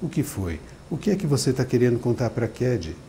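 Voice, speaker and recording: A man reading aloud and voicing a caring older sister who talks gently and coaxingly. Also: mid-range voice, sing-song, a little fast, slight background noise. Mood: tender. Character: young woman, a warm caring older sister.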